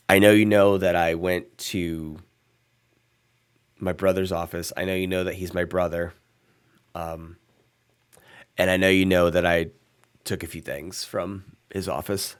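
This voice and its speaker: A guarded male vampire in a tabletop game who rambles through his explanations, played by a man. The voice is very deep, hesitant and full of restarts. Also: smooth.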